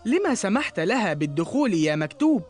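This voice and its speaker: Woman voicing an animated, earnest young boy. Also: mid-range voice, fast talker, soft background music, close to an everyday voice. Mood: worried, downcast. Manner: earnest, expressive. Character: earnest, polite young schoolboy, easily downcast. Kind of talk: cartoon dialogue in formal Arabic.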